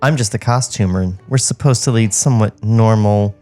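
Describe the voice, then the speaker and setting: A man's voice: on the low side, fairly quick, mellow, faint music behind, natural voice. A man playing a cool, guarded young woman costumer in a comedy table read, with a breezy, polite manner.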